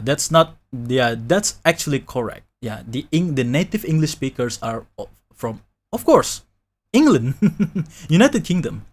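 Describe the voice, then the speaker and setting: Guy streaming, kinda high voice, goes all over the place pitch-wise, laughs a lot. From Indonesia, Malay from Sumatra. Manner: animated and rambling, laughs often, restarts sentences. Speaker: VTuber streamer. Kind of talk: casual live-stream chat.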